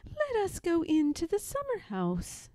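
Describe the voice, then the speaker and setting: Woman as sweet old granny bear in a storybook, high swoopy voice, gentle and playful. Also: huge pitch swings, measured pace, dry recording, heavily acted. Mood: kindly, cheerful. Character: kindly old female grandmother bear, playful.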